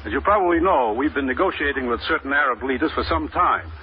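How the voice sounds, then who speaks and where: An actor playing a grave, businesslike American government commissioner in a 1950s radio drama, speaking with clipped authority. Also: male voice, mid-range voice, lively intonation, quick pace, lightly acted. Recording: muffled, hissy old radio recording.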